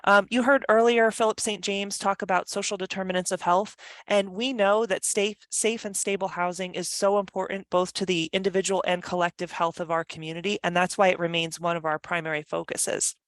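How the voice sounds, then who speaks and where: A woman's voice: lowish voice, fairly even intonation, brisk, no echo. A woman presenting at a virtual meeting for a nonprofit, speaking earnestly with many ums and uhs. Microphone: video call.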